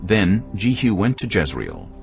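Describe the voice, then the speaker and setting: A man's voice: fairly low pitch, wildly swooping pitch, measured pace, gravelly. A man narrating a dramatised audio Bible as a scripted, dramatic reading. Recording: dull lo-fi audio, music bed.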